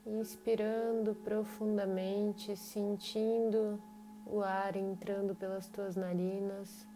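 A woman with a Brazilian accent leads a guided meditation in short, unhurried phrases separated by long pauses. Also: medium pitch, flat delivery, warm tone, poor audio. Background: meditation music with singing bowls.

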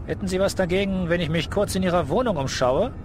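An actor playing a brisk, sardonic police officer in an audio drama, official and pointedly questioning. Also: male voice, sits high, noisy background, lightly acted. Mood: probing, official. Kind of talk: German audio drama acting.

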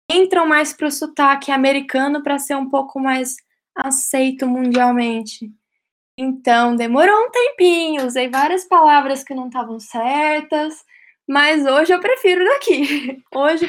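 A young woman talks in a high, bright voice. She explains things at length in an easy, chatty way, often checking in with tag questions. She sounds like a guest in a relaxed interview. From Brazil. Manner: chatty, explains at length with frequent "né?" and light laughs. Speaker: guest, professional ballerina living in England. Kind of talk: casual interview answers.

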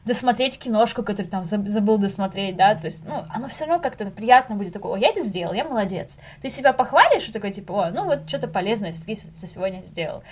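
A young woman chatting casually as a podcast guest, telling things in slangy, animated speech with the odd English word. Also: medium pitch, fast talker, few pauses. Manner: animated storyteller, acts out little inner dialogues; slangy, drops in English words.

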